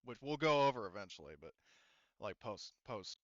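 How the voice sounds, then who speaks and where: A man's voice: lowish voice, sing-song, moderate pace, dry recording, lo-fi sound. A man acting as game master, casually narrating and bantering with players in a rambling, colloquial way.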